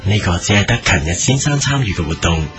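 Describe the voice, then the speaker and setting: Man as a deep, solemn documentary narrator. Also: animated, fast talker, natural voice. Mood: solemn, earnest. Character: solemn male narrator of a television travel documentary. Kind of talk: scripted mock documentary narration. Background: prominent music.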